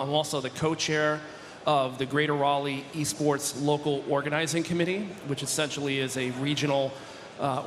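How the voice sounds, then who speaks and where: A man's voice: medium pitch, long reverb tail. A man speaking steadily on a panel, with frequent uhs. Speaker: agency co-founder. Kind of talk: conference panel talk.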